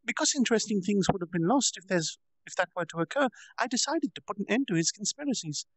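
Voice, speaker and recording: A man's voice: high voice, lively intonation, fast talker, no echo, pitched above the performer's own voice, low-quality recording. A man voicing a proud, childlike girl demon lord who boasts in a bubbly sing-song.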